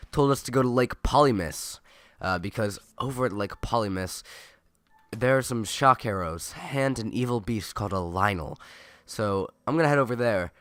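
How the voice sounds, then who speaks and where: A young man with a cold, his nose stuffed and his voice raspy, rambling chattily and correcting himself as he comments on a video game. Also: on the low side, fairly bright tone, no room sound. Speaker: let's play gamer. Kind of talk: casual gameplay commentary.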